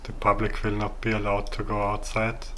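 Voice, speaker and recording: A man with an Austrian accent, a native German speaker, giving a casual, halting game walkthrough. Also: fairly low pitch, monotone, fairly bright tone, slight background noise. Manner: halting, searching for words. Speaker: gamer showing his own game build.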